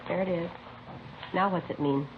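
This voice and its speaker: Woman playing a dry no-nonsense lady cop, kinda low voice, old muffled radio drama recording. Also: moderate pace, natural voice. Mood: matter-of-fact. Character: practical, matter-of-fact policewoman colleague. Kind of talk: 1950s radio police drama dialogue. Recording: muffled tinny vintage radio, faint noise.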